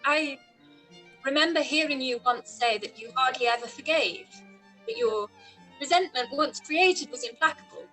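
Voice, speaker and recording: A witty, proud young gentlewoman of a period radio play, acted by a woman. Her voice is high and bright, and she spars archly in formal, pointed phrases. Faint music plays behind her. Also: animated, normal speed, heavily acted, poor audio. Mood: probing. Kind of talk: period drama live radio-play reading.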